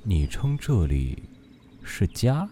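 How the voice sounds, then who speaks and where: Bright, low-voiced aloof immortal. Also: male voice, sing-song, takes it slowly, a little hiss or hum, full character voice. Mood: teasing, cool. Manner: composed, dryly teasing. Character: aloof teasing male immortal, calm, unhurried, self-assured. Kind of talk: Chinese fantasy audio drama acting.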